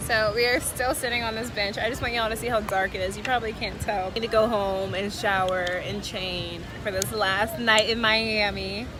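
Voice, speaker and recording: A woman in her twenties, vlogging casually to her viewers outdoors at night on a handheld phone, chatty and excitable. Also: mid-range voice, normal speed, few pauses. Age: 20s. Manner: chatty, excitable, often laughing. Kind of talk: casual travel vlog talk to viewers. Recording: loud music and crowd noise behind.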